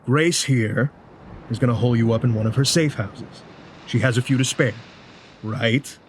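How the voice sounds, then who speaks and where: A man acting as a vampire rebel leader in his thirties for an audio drama. He is calm and protective, with a matter-of-fact delivery and a medium-pitched voice over clear background noise. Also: normal speed, close to an everyday voice. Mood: calm, helpful. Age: 30s.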